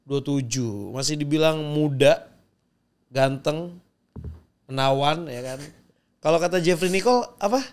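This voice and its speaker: A man's voice: a little high, unhurried, pauses often, clear, resonant tone. A man from Java who hosts a podcast, chatting casually and teasing his guest in a playful way. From Java, Indonesia. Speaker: podcast host. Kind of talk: casual interview chat.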